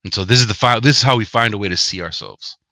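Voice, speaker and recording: Low-voiced man, bright and forceful. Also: quick pace, dry recording. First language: Spanish. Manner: earnest, animated, frequent "right?" tags. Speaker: guest author. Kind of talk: podcast interview.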